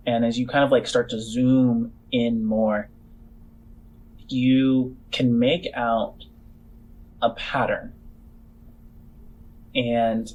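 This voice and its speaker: Male game master, improvised narration, halting descriptive phrases, slightly rough voice, mid pitch. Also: darkish tone, low-quality recording.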